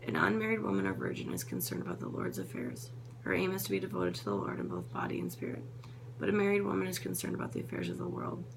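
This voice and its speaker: A woman reading Bible passages aloud in a steady, unhurried way, now and then correcting a slip. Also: on the low side, gravelly, noisy background. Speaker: Bible reader.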